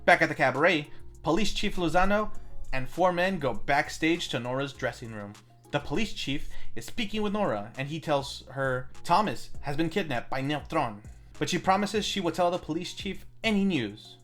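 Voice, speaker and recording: A man's voice: on the high side, animated, normal speed, a bit bright, soft background music. A man hosting a film review, reading a scripted plot recap and adding wry commentary of his own. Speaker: film review show host.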